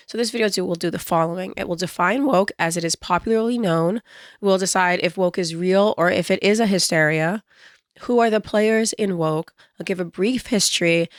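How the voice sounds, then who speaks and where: A hoarse woman with a strained voice, hosting a casual, wry video commentary with joking asides. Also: on the low side, dry recording, studio quality. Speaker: video essay host.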